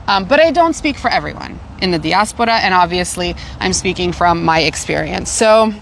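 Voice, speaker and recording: Canadian woman in lively casual chat. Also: fairly low pitch, moderate pace, gravelly, a little hiss or hum, poor audio. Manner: animated, repeats herself when searching for words. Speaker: podcast host.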